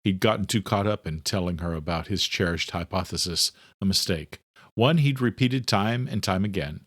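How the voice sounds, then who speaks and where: A man's voice: deep voice, normal speed, a bit bright, no echo, clean studio sound. A man narrating a thriller audiobook from a script, as the storyteller between lines of dialogue.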